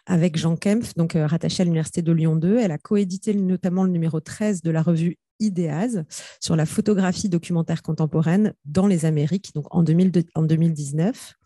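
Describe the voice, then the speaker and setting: A woman's voice: on the low side, a little fast, dark tone, no echo, clean studio sound. A woman chairing an academic panel, introducing a speaker with many hesitations and fillers. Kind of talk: introducing a speaker at a research conference.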